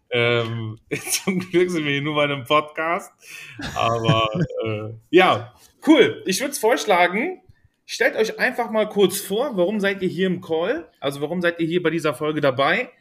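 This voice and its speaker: A man's voice: fairly high pitch, expressive, measured pace, a bit bright. Playful man hosting a podcast. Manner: playful, laughs often, teasing. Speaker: podcast host. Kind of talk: casual podcast chat.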